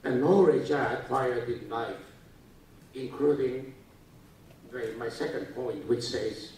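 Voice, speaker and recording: An older Taiwanese man gives a talk to students, pausing often on uh and restarting his phrases. His voice is caught from far off and rings through a very echoey lecture hall. A low rumble sits underneath. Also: a little mellow. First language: Japanese. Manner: halting, with many uh fillers and restarts. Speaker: guest speaker.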